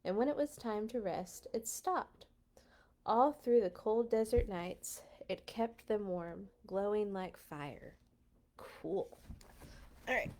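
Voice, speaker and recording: A woman reading stories aloud to children in an expressive, playful way, with casual asides. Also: medium pitch, slow pace. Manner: playful, animated in telling. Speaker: children's storyteller at a church read-along.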